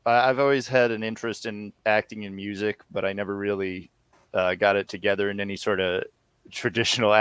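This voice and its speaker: A man talks casually as a guest, a little hesitant and self-deprecating. His voice is on the low side and very smooth. The recording is dry and close. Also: fairly bright tone, no room sound. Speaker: interview guest, audio series co-creator. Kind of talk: casual chat. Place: remote online call from home.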